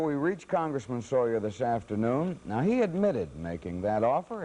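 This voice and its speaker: A man's voice: lowish voice, warm tone, rough recording. An American man anchoring a newscast, introducing reports and talking straight to viewers. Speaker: television news anchor. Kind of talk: newscast presenting.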